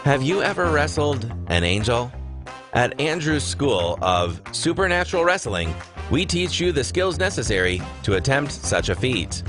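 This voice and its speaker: A man's voice: mid-range voice, very animated, moderate pace, natural voice. A man playing an upbeat huckster announcer in a parody radio commercial, punchy and wry. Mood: salesy, upbeat. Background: music bed.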